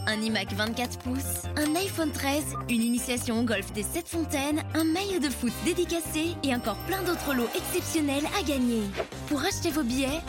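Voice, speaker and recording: A woman delivers a scripted radio ad in a high, upbeat voice. Her promotional read runs over a clear music bed. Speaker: advertisement announcer.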